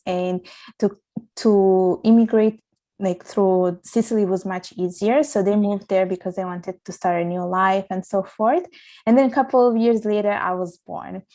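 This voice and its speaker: A woman's voice: mid-range voice, steady tone, normal speed, dark tone. A young woman from Italy who is a podcast guest, telling her life story in an earnest, reflective way with frequent restarts. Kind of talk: casual interview storytelling.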